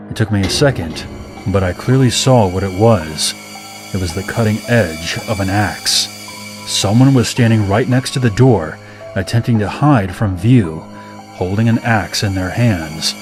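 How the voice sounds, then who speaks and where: A man reading a scripted first-person scary story as a narrator. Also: on the low side.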